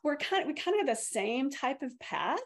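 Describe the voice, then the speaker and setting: A woman's voice: high-pitched, big pitch swings, moderate pace. A woman in her fifties, hosting a casual chat and talking in a rambling, storytelling way with many fillers. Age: 50s. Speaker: host, yoga and Reiki teacher.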